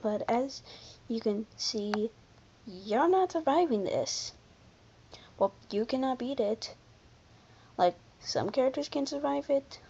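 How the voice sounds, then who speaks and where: A commentator giving casual, unscripted video commentary in an informal, halting way, often backtracking. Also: medium pitch, expressive, creaky, warm tone, muffled recording, slight background noise. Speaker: video creator presenting a ranking.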